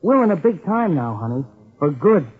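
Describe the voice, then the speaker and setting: A man's voice: on the high side, very animated, moderate pace, over loud music. A man playing a cocky, slangy small-time crook in a 1940s radio drama. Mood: cocky, flirtatious. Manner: tough, slangy, brash. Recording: tinny, noisy 1940s radio recording.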